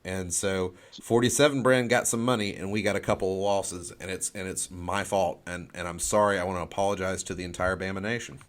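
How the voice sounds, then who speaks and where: A man with a fairly low voice talks casually on a sports podcast panel. He sounds playfully contrite and often doubles back on his own phrases. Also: steady tone, fairly quick, hardly any pauses.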